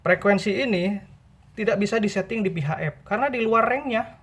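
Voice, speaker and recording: A man's voice: high voice, a little fast, a bit bright, slight background noise. A man gives an informal tutorial, explaining patiently by repeating and rephrasing his points. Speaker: presenter giving buying tips.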